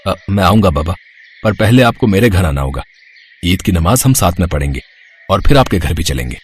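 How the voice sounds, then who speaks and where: A man playing a humble, pious village father, tender and earnest, in an animated moral story. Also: deep voice, fairly quick, slight background noise. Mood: humble, polite.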